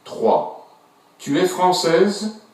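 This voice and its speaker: Man, scripted lesson narrator; slow, lively intonation, echoey distant recording. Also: high-pitched. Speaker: textbook audio narrator. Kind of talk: scripted language-lesson instructions. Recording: echoey, distant textbook audio.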